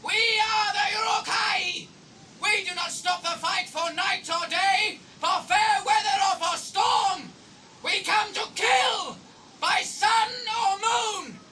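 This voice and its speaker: An actor in a radio drama playing a brutish, taunting orc soldier, jeering in a harsh, strained shout. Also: male voice, extremely high pitch, takes it slowly, recorded from a distance, a little hiss or hum, full character voice. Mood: defiant, boastful. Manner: jeering, chanting. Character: brutish, taunting orc war-band soldier, savage and boastful.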